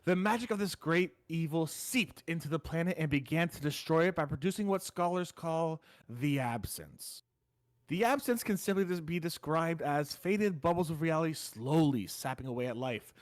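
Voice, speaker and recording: A man's voice: on the high side, expressive, moderate pace, no room sound. A man running a tabletop story game on a voice call, explaining the rules casually and reading the world lore in a solemn storybook tone. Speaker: game facilitator.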